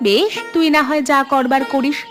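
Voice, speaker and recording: A woman playing a gentle, cautious village aunt in a cartoon, explaining things in a soft-hearted way. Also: sits high, normal speed, lightly acted. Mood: patient. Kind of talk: Bengali cartoon voice acting. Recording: prominent plucked-string music under voice.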